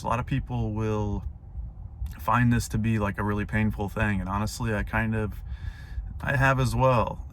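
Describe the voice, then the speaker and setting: A young man with a hoarse, fading voice, talking casually and reflectively to camera from his parked car with plenty of fillers. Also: lowish voice, noisy background. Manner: rambling, reflective, many fillers. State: hoarse, voice going out. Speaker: vlogger.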